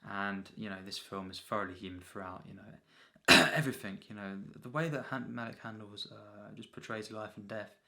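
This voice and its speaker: Gravelly, flat-toned man reviewing a film. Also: lowish voice. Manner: halting, repetitive, frequent throat clearing and filler phrases. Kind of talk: unscripted, rambling film review.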